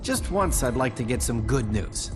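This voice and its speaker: Flippant superhero male actor: easygoing wisecracker, mid-range voice with animated swings. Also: mid-range voice, normal speed, music in the background, close to an everyday voice. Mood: wry, flippant. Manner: flippant, casual. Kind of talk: video game drama acting.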